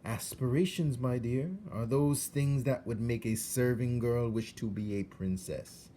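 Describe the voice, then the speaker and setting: Man voicing a smooth, scheming, courtly storybook rat. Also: mid-range voice, unhurried, dark tone, a little hiss or hum, pitched above the performer's own voice, lightly acted. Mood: coaxing. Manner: ornate, over-polite, coaxing speech. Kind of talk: storybook character voice.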